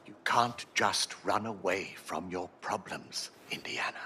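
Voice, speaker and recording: An actor playing a fussy, genteel older British academic, concerned and expressive, in a cinematic action-adventure game. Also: male voice, deep voice, unhurried, slight background noise, heavily acted. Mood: pleading.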